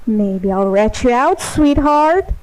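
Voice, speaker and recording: A woman voicing a hateful, sadistic godlike supercomputer with a teenage god complex, taunting in a deliberate, mock-sweet dramatic monologue. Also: on the high side, animated, a little mellow, rough recording. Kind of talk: dramatic monologue, fan voice acting of game lines.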